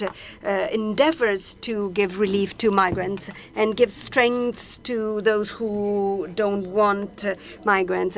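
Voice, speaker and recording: A woman interpreting a panel discussion simultaneously, halting often with hesitations and restarts as she renders each speaker. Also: mid-range voice, creaky. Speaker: conference interpreter.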